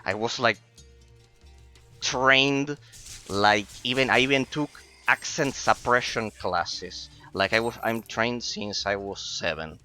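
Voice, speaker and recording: A man's voice: medium pitch, slow pace, bright, forceful tone, faint music behind. A man in his late twenties whose first language is Spanish, chatting casually with stream viewers while gaming and often stumbling and repeating words as he starts sentences. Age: 20s.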